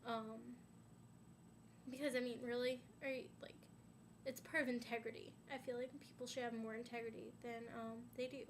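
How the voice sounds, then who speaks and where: A woman's voice: on the high side, little pitch movement, moderate pace, slight background noise. A young woman chatting to her camera in a rambling way, full of "um"s and "like"s, a little stuffy and sniffly from a sinus infection. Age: young adult. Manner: rambling, many "um"s and "like"s. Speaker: vlogger. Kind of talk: casual chat to camera.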